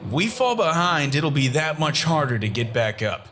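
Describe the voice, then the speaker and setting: A male actor plays an edgy, skeptical team member in a slightly high, sharp voice. It is audio drama dialogue with faint noise behind. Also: very animated, normal speed. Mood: skeptical, warning.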